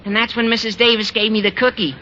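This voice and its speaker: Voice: mid-range voice, level tone, fast talker, sharp tone, natural voice. An actor playing an earnest, lovesick teenage schoolboy who gushes naively in a 1940s radio sitcom. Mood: earnest, gushing. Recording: muffled old radio recording, faint noise.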